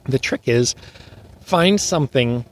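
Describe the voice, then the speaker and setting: A man's voice: mid-range voice, expressive, takes it slowly. Thoughtful man giving advice. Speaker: musician guest. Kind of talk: casual interview chat.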